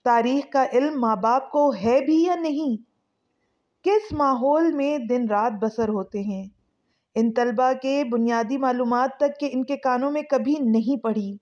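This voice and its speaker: Female story narrator, very high voice, unhurried pace, expressive rhetorical delivery. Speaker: narrator and channel host.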